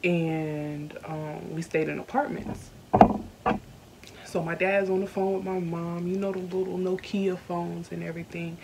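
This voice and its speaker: A young woman from the South, candidly telling a personal story on her vlog, earnest and slowed by emotion. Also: on the low side, little pitch movement, vocal fry, heavy background noise. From Southern United States. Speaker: YouTuber. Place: indoors at home.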